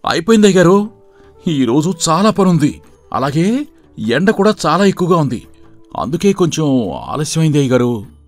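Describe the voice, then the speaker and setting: A man playing a sly, lazy farmhand who makes glib excuses in an animated moral story. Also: fairly high pitch, moderate pace, long pauses, faint music behind. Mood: feigned weariness.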